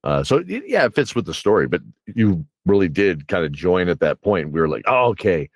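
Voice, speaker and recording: A man's voice: low-pitched, very animated, fairly quick, dry recording, clean studio sound. A man hosting an interview show, talking in a casual, conversational style. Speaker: podcast host and interviewer. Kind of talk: casual interview chat with a scripted intro.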